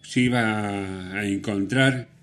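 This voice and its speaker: A man hosting radio, telling music stories casually in a halting, rambling, conversational way. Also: lowish voice, fairly even intonation, a bit bright. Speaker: radio host.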